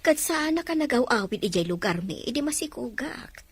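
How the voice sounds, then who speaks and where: Woman doing a jittery polite maid, breathy voice. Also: fairly high pitch, very animated, a little fast. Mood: nervous. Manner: hesitant, deferential. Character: nervous, deferential maid.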